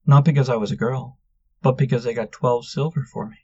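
A man voicing gentle, sympathetic Luna. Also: medium pitch, steady tone, fairly quick, breathy edge, lo-fi sound, voice pushed up, lightly acted. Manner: soft, sympathetic. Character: gentle sympathetic young woman from the church.

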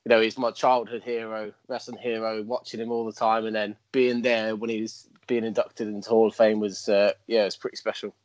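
A man's voice: on the low side, level tone, darkish tone, no echo, low-quality recording. Stammering man, dry close mic. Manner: stammering restarts, quick laughs. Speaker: guest, professional wrestler. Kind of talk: casual interview chat.

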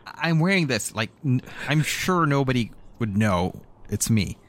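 Hesitant, lively tabletop game player. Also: medium pitch, a little slow, dry recording. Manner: hesitant, stammering. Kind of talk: improvised actual-play roleplay.

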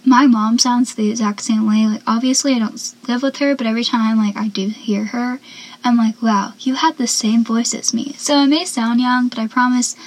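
Teen girl rambling on her podcast, soft young voice, kinda high, whispers little asides. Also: steady tone, normal speed, dry recording, poor audio. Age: teens. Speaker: podcast host. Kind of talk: casual solo podcast chat.

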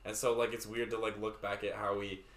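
A man's voice: on the low side, little pitch movement, brisk, a bit bright, echoey room. A young man chatting with friends, hesitant and rambling, with lots of likes and restarts. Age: young adult. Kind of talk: casual chat among friends.

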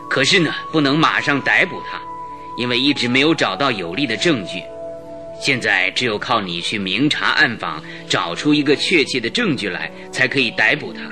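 A man voicing a government spymaster in an old radio play, an earnest, apologetic elder brother who talks at a middle pitch. Music plays clearly underneath him. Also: close to an everyday voice. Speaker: radio drama actor. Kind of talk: 1960s radio drama acting. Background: music bed.

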